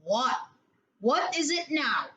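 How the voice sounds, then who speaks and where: Woman as a weary dad, firm, matter-of-fact, very slow delivery, storybook character. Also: high-pitched, very animated, fairly bright tone, exaggerated voice. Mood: exasperated. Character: firm, weary father dismissing his child's fears. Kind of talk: children's storybook read-aloud.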